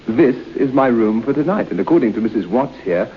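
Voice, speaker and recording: An actor playing an urbane, sceptical, self-assured gentleman in an old radio drama. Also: medium pitch, expressive, brisk, close to an everyday voice. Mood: breezy, confident. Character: breezy, sceptical gentleman making a wager. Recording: muffled old radio recording with hiss.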